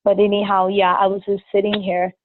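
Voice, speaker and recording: A woman's voice: mid-range voice, flat delivery, brisk, slight background noise. A woman joining an online class, chatting in a teasing, friendly way. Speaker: class participant. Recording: dry thin phone-line sound.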